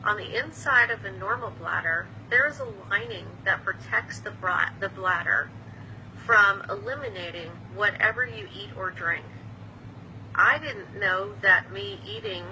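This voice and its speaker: A woman's voice: mid-range voice, bright, forceful tone, a little hiss or hum, poor audio. A woman in her fifties, a patient advocate, explaining an illness to camera in a plain, patient way with small restarts. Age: 50s. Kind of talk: earnest explanatory talk to camera.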